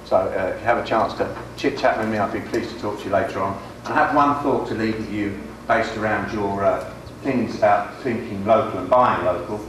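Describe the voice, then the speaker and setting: A man's voice: fairly low pitch, a little fast. A man with a British accent giving a short, informal address to a group as a guest, wry and a little hesitant. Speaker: guest speaker, business consultant. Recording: echoey room, distant mic, noisy ambience.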